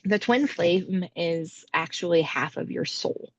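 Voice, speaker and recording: A woman co-hosting a podcast, explaining things casually with emphatic, deliberate lists. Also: fairly low pitch, dry recording, muffled recording. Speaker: podcast co-host.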